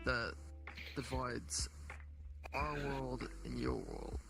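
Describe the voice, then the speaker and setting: A man talks slowly in a heavily gravelly, fry-laden voice that moves widely in pitch. He hesitates and stops often as he roleplays, and music is clearly heard behind him. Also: wildly swooping pitch, low-quality recording. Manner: hesitant, halting, full of fillers. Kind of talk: casual in-character roleplay.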